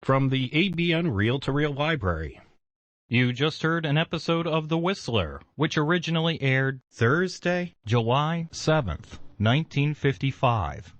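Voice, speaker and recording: A man reads a station announcement in a plain, measured way. His voice sits on the higher side, recorded dry and close in a studio. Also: animated, no room sound.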